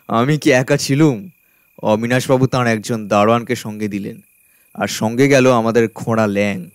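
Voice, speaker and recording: An actor playing a carefree, trusting uncle in a radio drama, chatting in a jovial, easygoing manner. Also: male voice, medium pitch, normal speed, smooth, dry recording, lightly acted. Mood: amused, chatty.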